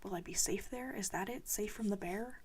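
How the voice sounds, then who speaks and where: A woman voicing a frightened young boy hunter in a soft whisper. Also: mid-range voice, moderate pace, slight background noise, close to an everyday voice. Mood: frantic.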